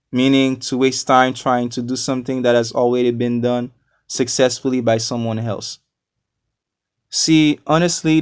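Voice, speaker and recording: A man from Haiti, a non-native English speaker, giving a scripted English lesson with deliberate, explanatory enunciation. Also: medium pitch, clear, resonant tone, no room sound, clean studio sound. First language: not a native English speaker. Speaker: English teacher. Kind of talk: scripted educational narration.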